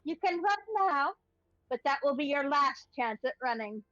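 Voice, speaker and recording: High-voiced woman on a dull mic. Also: expressive, normal speed, a bit bright, no echo. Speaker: player in a tabletop game. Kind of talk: casual chat. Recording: dull dry mic, faint music.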